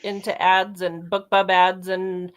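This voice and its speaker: A woman's voice: fairly low pitch, flat delivery, unhurried. A woman hosting a casual book club chat, asking questions in an informal way over a video call. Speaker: book club host and interviewer.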